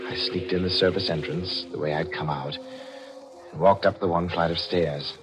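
An actor playing a cold, calculating newspaperman turned murderer, deliberate and clipped in a 1940s radio thriller. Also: sits low, steady tone, over loud music, lightly acted. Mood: cold, methodical. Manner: deliberate, clipped, menacing narration and dialogue. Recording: muffled vintage radio broadcast.

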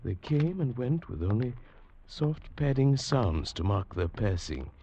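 An actor playing an uneasy traveller who narrates an eerie tale in a dramatic 1940s radio drama style. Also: male voice, medium pitch, sing-song, unhurried, dull audio, slight background noise. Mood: haunted, wondering. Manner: dramatic, hushed swelling to agitated. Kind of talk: 1940s radio drama acting, first-person scripted narration. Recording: old radio broadcast with music.

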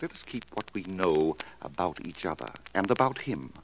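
Crafty male fortune-teller for 1940s radio: deep, formal, insinuating, with lively swoops. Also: normal speed. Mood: calculating. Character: smooth, scheming male fortune-teller and stage mystic. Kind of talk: 1940s radio mystery acting. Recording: noisy vintage 1940s radio recording.

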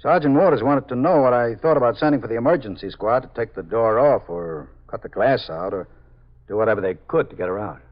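An actor playing a dutiful, deferential police subordinate in a 1950s radio drama. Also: male voice, mid-range voice, lively intonation, natural voice. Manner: brisk, deferential. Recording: muffled 1950s radio recording.

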